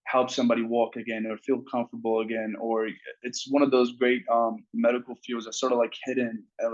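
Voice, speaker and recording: A man's voice: mid-range voice, muffled recording. A young man from Pakistan, a podiatry student, explaining his field earnestly in an interview with some fillers. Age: young adult. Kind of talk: interview answers.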